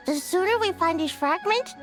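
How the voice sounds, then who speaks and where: A woman voicing a sleepy, grumbling little ninja girl in a video game. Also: high-pitched. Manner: drowsy, grumbling.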